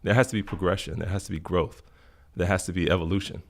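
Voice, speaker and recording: A middle-aged man from Florida talks in a relaxed interview, his low voice gravelly with fry. He speaks earnestly and thoughtfully, repeating phrases to stress a point. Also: fast talker. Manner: earnest, reflective, emphatic repetition. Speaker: podcast guest. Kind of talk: casual interview talk.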